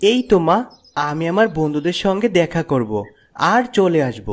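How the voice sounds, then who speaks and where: A man's voice: fairly high pitch, sing-song, normal speed. A man playing a humble, lovesick young village son in a fairy-tale cartoon, earnest and devoted. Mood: sheepish. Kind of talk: animated fairy-tale dialogue. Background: music.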